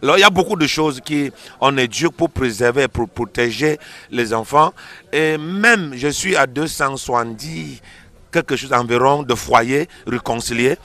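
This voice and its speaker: A male pastor answering interview questions. His voice is bright and forceful at an ordinary male pitch. He teaches emphatically, restating key phrases to drive them in. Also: moderate pace. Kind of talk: explanatory interview answers on a religious show.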